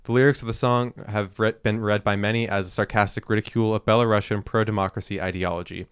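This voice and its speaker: Man, podcast co-host, lowish voice, smooth clear tone, dry close sound, hesitant chatty delivery. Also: steady tone, quick pace, no echo. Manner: hesitant, many fillers and restarts. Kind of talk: casual podcast chat and reading notes.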